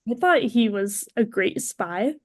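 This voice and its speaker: A woman's voice: fairly high pitch, animated, a little slow, dry recording. Woman chatting casually, dry sound. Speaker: podcast panelist. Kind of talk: casual film discussion chat.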